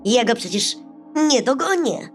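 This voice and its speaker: A woman's voice: a little high, sing-song, a little slow, pitched above the performer's own voice. A woman voicing a young, earnest and weary fairy-tale prince. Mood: dismayed. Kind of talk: bedtime fairy-tale audio play. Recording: music under the voice.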